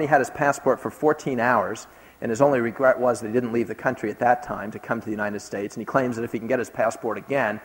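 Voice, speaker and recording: A man recounts his travels with earnest emphasis. His voice sits at a middle pitch and carries the long reverb of a large hall. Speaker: speaker recounting a trip. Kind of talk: recounting a trip in a talk.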